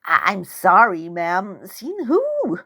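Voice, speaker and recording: A woman's voice: mid-range voice, huge pitch swings, slow pace, sharp tone, slight background noise, exaggerated voice. A woman voicing a nervous, polite young boy who stammers a little in a storybook reading.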